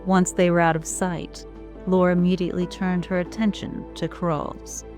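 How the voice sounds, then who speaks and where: A woman reading scripted narration for an audio drama in a measured storytelling manner. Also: on the low side, flat delivery, darkish tone. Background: music.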